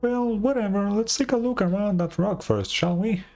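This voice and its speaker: A woman's voice: medium pitch, moderate pace, mellow, faint music behind, natural voice. A woman voicing a small, curious, chatty companion in a video game, chirpy and inquisitive.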